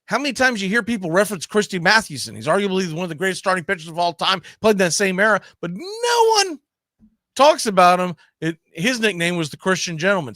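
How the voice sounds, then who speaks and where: A man's voice: sits high, a bit bright, dry recording, studio quality. A man hosting a casual sports talk show, arguing emphatically and posing rhetorical questions.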